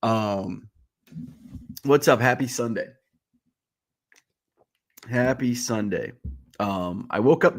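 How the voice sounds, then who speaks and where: A man's voice: medium pitch, fairly bright tone. A man hosting a live stream, chatting casually and rambling off topic with jokes, sounding stuffy and congested from a cold. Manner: chatty and joking, wanders off on tangents. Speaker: host of a daily live stream. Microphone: streaming setup.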